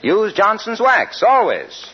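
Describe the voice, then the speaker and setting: A man's voice: high voice, huge pitch swings, slow pace, bright, forceful tone, husky, full character voice. A man playing an eager, polished announcer doing 1940s radio advertising patter. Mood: polished, selling. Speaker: announcer and commercial pitchman. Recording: dull vintage radio broadcast.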